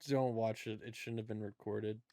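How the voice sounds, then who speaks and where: A man's voice: on the low side, little pitch movement, moderate pace, dark tone, no room sound. Casual male podcaster with a dry, close sound. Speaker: podcast host. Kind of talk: casual chat about movies.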